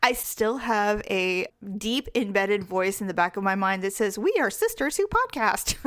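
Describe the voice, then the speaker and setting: A woman in her forties co-hosting a podcast, chatting casually with her sister, blunt and frank and quick to laugh. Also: medium pitch, lively intonation, fairly bright tone. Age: 40s. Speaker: podcast co-host. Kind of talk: casual chat.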